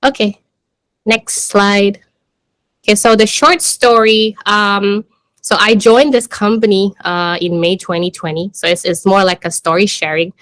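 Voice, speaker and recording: A woman's voice: medium pitch, fairly even intonation, a little slow, a bit bright, no echo. A woman giving an informal business presentation in a hesitant, conversational way, leaning often on "you know" and "like". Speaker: startup country manager presenting.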